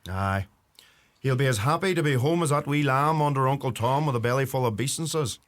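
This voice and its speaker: An actor playing a young, earthy farmer and youngest son, with a Donegal Irish accent and dry manner, in a radio play. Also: male voice, medium pitch, brisk, a little hiss or hum, lightly acted. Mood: wry. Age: young adult.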